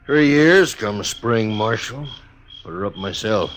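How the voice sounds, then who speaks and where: An actor playing a quiet, troubled, gray-haired frontier station keeper with a terse Western American delivery in a radio western. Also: male voice, lowish voice, expressive, slow pace. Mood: guarded. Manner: terse, laconic. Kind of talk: old-time radio western acting. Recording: old radio recording with background noise.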